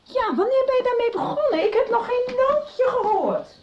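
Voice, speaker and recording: A woman's voice: very high-pitched, lively intonation, moderate pace, highs cut off, very noisy. An actress playing a scatty, gushing, informal middle-aged mother in a Dutch radio soap. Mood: amused.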